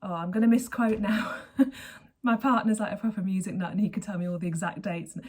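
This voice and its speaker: A woman in her forties with a hoarse voice, hosting her knitting podcast in a chatty, rambling way and often correcting herself mid-sentence. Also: mid-range voice, steady tone, a little fast, darkish tone. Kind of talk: casual chat to camera.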